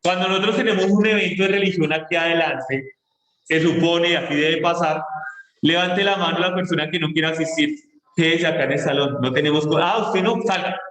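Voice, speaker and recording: A man's voice: fairly high pitch, fairly bright tone. Colombian man teaching with echoey sound. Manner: explains slowly, stretching key words. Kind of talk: online class lecture.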